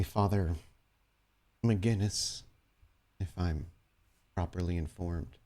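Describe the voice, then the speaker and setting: Man playing a smug, low-voiced vampire crime boss. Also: mellow. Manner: measured, taunting, formal.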